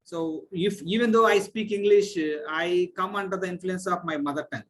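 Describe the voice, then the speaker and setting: Indian-accented male host, high-pitched, slow, polite, hesitant questioner. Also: fairly bright tone, slight background noise. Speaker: host of online class. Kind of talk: video-call interview.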